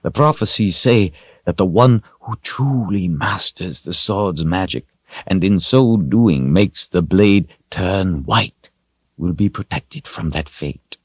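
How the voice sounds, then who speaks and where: A man voicing a calm, gentle old wizard who speaks softly and evenly, often close to a whisper. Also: sits low, slightly rough, no echo, muffled recording, pitched above the performer's own voice, exaggerated voice. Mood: somber. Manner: soft, measured, often near a whisper.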